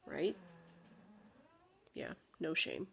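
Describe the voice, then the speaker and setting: A woman's voice: sits low, wildly swooping pitch, drawn-out words, creaky, bright, forceful tone, slight background noise. Chatty woman vlogging about parenting. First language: not English. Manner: chatty and rambling, many fillers and asides. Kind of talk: casual talk to camera.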